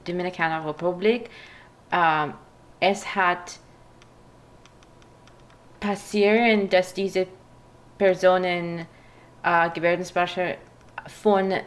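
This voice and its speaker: A woman with a foreign accent chatting casually in a language that is not her own, pausing to search for words. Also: lowish voice, steady tone. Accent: non-native, foreign-accented German. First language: not German; speaks it as a foreign language. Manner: halting, pauses to search for words. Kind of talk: casual language-practice chat.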